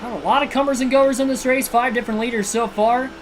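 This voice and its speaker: A man hosting a livestream and giving realistic play-by-play commentary on a racing video game, in a steady broadcaster style with casual personal asides. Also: very high voice, fast talker, a little hiss or hum, low-quality recording. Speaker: livestream host and race commentator. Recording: video game music clearly behind voice.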